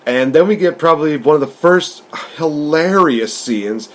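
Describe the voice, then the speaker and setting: A man's voice: fairly high pitch, lively intonation, unhurried. A man hosting a casual movie review, rambling through it with enthusiasm. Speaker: podcast host and film reviewer.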